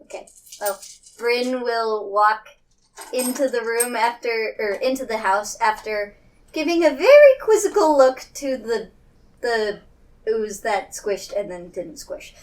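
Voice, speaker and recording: Female tabletop player, higher pitch, slow hesitant delivery, playful and self-deprecating, faint noise. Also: animated. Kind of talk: casual, playful table talk. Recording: distant mic, faint noise.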